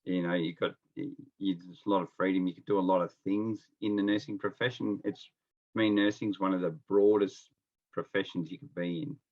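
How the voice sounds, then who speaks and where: Low-voiced Australian man, slightly muffled audio. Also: fairly even intonation, brisk. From Melbourne, Australia. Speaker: interview guest, experienced nurse. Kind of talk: casual anecdotal chat. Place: at home, on a remote call.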